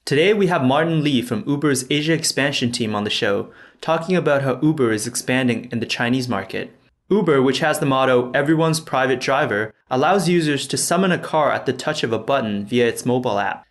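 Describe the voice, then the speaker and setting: Man hosting a podcast, mid-pitched voice. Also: fairly quick. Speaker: podcast host. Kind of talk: podcast intro and interview questions. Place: sit-down interview in Shenzhen.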